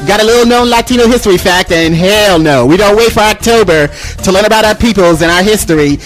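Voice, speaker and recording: A man's voice: sits high, quick pace, soft background music, poor audio. A man hosting a radio show, brash and informal, mixing English with bits of Spanish. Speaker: radio host. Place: radio studio, music behind.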